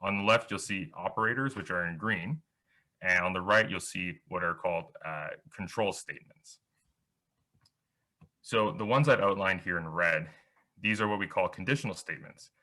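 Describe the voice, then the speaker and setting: A man's voice: low voice, normal speed, pushed and bright. A young man giving a beginner programming workshop over slides, explaining step by step with small restarts. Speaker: workshop instructor.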